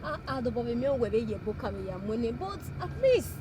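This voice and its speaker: A woman's voice: a little high, big pitch swings, quick pace. A woman with a Nigerian accent playing an anxious, disbelieving friend in a screen drama. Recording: noisy location sound.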